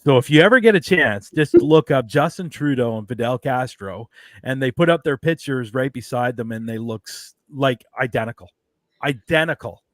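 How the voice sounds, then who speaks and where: A man's voice: mid-range voice, animated, moderate pace, no echo. A man hosting a casual online talk show, rambling and digressive as he chats over a video call. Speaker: show host.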